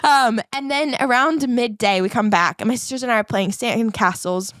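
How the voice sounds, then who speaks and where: Chatty New Zealand woman, bright and dry. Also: a little high, normal speed, no echo. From England, raised in New Zealand. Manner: animated, chatty storyteller using many likes. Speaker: podcast guest, author. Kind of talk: personal storytelling.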